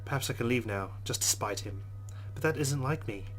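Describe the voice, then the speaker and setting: A man's voice: mid-range voice, fairly quick, faint music behind. Man reading a game story aloud. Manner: earnest reading, breaking into casual asides. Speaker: let's play host. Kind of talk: reading game narration aloud with casual comments.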